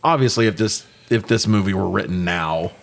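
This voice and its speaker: Low-voiced man, sweary podcast recapper. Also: normal speed, vocal fry. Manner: sweary, opinionated, animated retelling. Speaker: movie review podcast host. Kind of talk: casual recap and commentary with a co-host.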